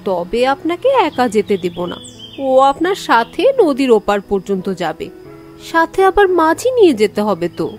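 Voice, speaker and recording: A woman plays a young village wife in a cartoon tale, high in pitch with swooping, lively intonation. She is polite yet uneasy, drawing out her phrases, with faint music behind. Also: normal speed. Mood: resigned, firm. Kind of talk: animated story character dialogue.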